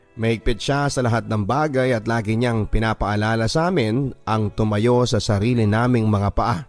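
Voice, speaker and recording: Man reading letters over soft music. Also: mid-range voice. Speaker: radio host reading listener letters. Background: soft music bed.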